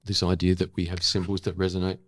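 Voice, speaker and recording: A deep, low-pitched older man talks in a reflective interview. He feels his way through sentences, pausing thoughtfully to find the right word. The sound is dry and close. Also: completely flat delivery, fairly bright tone, no echo. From Australia. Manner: halting, thoughtful, searching for words. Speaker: interview guest. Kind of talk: reflective long-form conversation.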